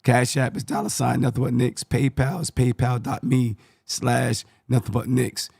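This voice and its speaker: A man hosting a casual sports talk show, his voice scratchy and hoarse, speaking in a laid-back way. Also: medium pitch, flat delivery, normal speed, a little mellow, dry recording, clean studio sound. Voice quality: scratchy, gravelly voice. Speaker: podcast host.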